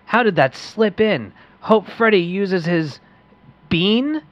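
A woman's voice: medium pitch, animated, takes it slowly, no echo, highs cut off. A woman playing an eager, wholesome young boy radio host in an old-fashioned radio play reading. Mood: eager, cheerful.

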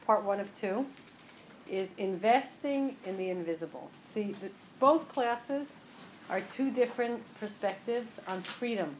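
A woman from New Jersey leads a class for a small group in a home. She talks in a chatty way with small jokes, then slows down and stresses her points. Her voice sits at a middle pitch, with a faint hum of noise behind her. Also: low-quality recording. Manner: chatty, joking, then slow and emphatic when teaching. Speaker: class teacher. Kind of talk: relaxed class talk to a group.

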